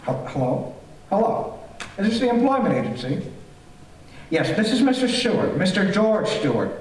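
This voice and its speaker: Fussy, sinister old-radio villain, swooping pitch. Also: male voice, very animated, normal speed. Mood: businesslike. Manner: repetitive, fussy, chuckling, sinister. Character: fussy, eager homeowner, secretly a gleeful murderous villain. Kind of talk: stage performance of golden-age radio thriller. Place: theater stage, live performance. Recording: roomy theater, far from mic, faint noise.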